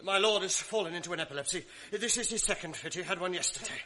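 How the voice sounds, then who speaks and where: A man's voice: high voice, quick pace, bright, forceful tone. An actor playing a cunning, mocking male ensign who whispers sly asides, in a 1980s radio drama. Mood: mock concern. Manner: sly, taunting, confiding asides.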